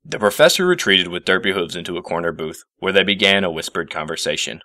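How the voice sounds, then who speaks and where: A man narrates a written story in a low, bright voice. The sound is close and dry. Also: lively intonation, fast, dry recording. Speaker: story reader.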